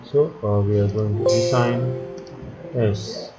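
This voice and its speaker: Slow, low-voiced man giving a software tutorial. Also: loud music behind the voice. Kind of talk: step-by-step screen tutorial narration. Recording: dull lo-fi audio, background chatter, clicking.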